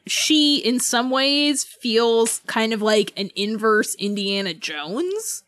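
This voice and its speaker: A woman with a fairly high, very animated voice chats casually as a podcast co-host. She rambles in a giggly, fannish way, and the sound is dry and close. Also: slow, dry recording. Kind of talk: casual book club chat.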